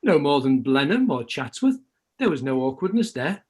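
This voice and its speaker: A genial, courteous uncle, voiced by a man, speaks with good humour. His voice is a bit high and husky. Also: big pitch swings, normal speed.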